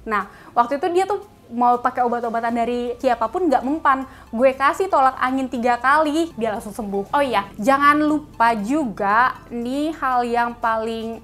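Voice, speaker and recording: A woman's voice: on the high side, moderate pace, fairly bright tone, faint music behind. A young woman with a Jakarta Indonesian accent, chatting casually and in slang to viewers as she shares tips in a vlog. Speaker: vlogger and cruise ship crew member.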